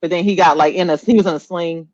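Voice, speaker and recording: A woman's voice: fairly low pitch, steady tone, fast, darkish tone, no room sound, lo-fi sound. A woman co-hosting a podcast in casual, blunt, slangy talk with free swearing. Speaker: podcast co-host. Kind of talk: casual movie chat.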